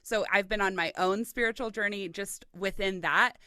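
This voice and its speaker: Bright-toned woman podcast host. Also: medium pitch, moderate pace, no room sound, clean studio sound. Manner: enthusiastic, affirming, chatty. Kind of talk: casual interview conversation.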